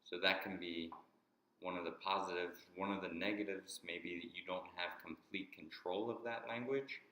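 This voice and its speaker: A deep-voiced man talks through an idea in a halting way, restarting and repeating words as he goes. His tone is bright and forceful despite the low pitch, and the room around him rings with echo. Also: fairly even intonation, a little hiss or hum. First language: English. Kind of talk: explanatory monologue.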